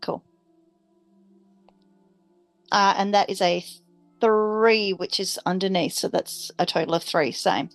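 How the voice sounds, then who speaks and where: A woman's voice: mid-range voice, slow, pauses often, faint music behind. A playful, irreverent woman, chatting casually as a player in a tabletop game.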